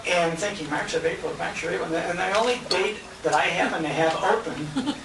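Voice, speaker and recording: A high-pitched man emcees a banquet in a jovial, folksy, slightly halting way. He is picked up from far off in an echoey restaurant, under loud crowd chatter and clattering dishes. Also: creaky. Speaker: emcee and radio host. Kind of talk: informal banquet speech to guests. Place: restaurant banquet room, indoors. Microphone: handheld compact camera at a distance. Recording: noisy echoey dining room, distant handheld camera mic. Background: loud diners, clattering dishes and cutlery.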